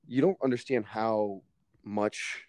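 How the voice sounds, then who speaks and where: A man's voice: fairly low pitch, steady tone, takes it slowly, darkish tone, no room sound. Emphatic young man, casual podcast chat. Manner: emphatic, swears casually, heavy fillers. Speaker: podcast guest.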